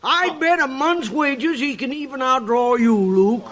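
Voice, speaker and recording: An actor playing an excitable, mischievous cowhand sidekick in a 1950s radio western, bragging in a folksy way. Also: male voice, very high-pitched, unhurried, a crowd in the background. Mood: eager, sly.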